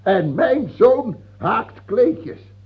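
A man's voice: high voice, sing-song, extremely slow, breathy edge, exaggerated voice. An actor playing a scheming, imperious aging French king in a radio play, theatrical, moving between murmured musing and scornful outbursts. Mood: scornful exasperation. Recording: dull, muffled old radio recording.

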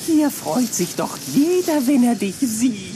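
A man playing a mocking, unpredictable teenage boy vampire in a children's radio play, with theatrical, drawn-out taunting. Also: very high-pitched, big pitch swings, noisy background, full character voice. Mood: sly coaxing.

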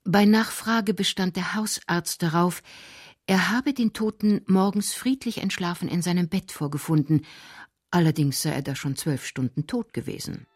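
A woman narrating a scripted radio drama in measured, deliberate phrases. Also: fairly low pitch, no echo, clean studio sound.

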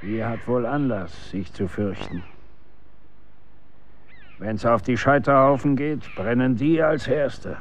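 An actor playing a gruff, middle-aged executioner and stern father in a radio drama, brusque and speaking in archaic wording. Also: medium pitch, slow, long pauses. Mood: grim, wary.

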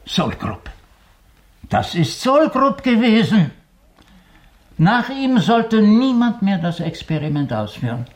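A man's voice: high voice, very animated, slow pace, long pauses, full character voice. An actor playing a learned man in a German radio mystery set in 1914 Vienna, musing and lecturing with emphasis. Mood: agitated. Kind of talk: German radio play acting. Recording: light background noise.

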